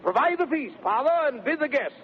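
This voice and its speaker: An actor playing a boisterous, boastful bridegroom in a theatrical, declamatory 1930s radio Shakespeare style. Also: male voice, sing-song, a little fast. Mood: exuberant. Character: boisterous, swaggering bridegroom wooer, comic and boastful. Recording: muffled, narrow-band 1930s radio with rumbling noise.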